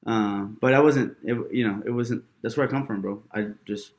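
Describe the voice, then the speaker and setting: A young man in his twenties, from Georgia, telling his story in a relaxed and slangy way. His voice sits on the low side, and he keeps tossing in filler words and calls the host bro. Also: moderate pace. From Gainesville, Georgia, USA. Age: 20s. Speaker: guest. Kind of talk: casual interview.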